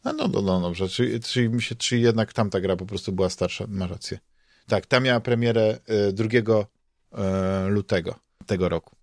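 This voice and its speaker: A man's voice: fairly low pitch, steady tone, normal speed, a little mellow, no room sound. Polish man chatting casually, very dry sound. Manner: hesitant, rambling, repeats words while searching for phrasing. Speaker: podcast host. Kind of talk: casual chat about games and comics.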